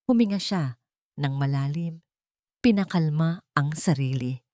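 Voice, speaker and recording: A woman's voice: low voice, measured pace, warm tone, no echo, clean studio sound. A woman narrating a scripted dramatic story.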